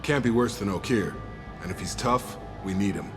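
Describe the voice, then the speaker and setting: A man's voice: on the low side, normal speed, long reverb tail, over music, natural voice. A man playing a tough starship crew fighter in a video game, blunt and laconic. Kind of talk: video game dramatic acting.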